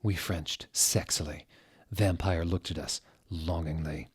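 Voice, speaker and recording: A man giving a mock-serious dramatic reading aloud, deliberate and theatrical, with literal attention to every odd word. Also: low voice, steady tone, slightly rough. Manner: deliberate, theatrical, reads typos and punctuation out literally. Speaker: dramatic reader of fan fiction.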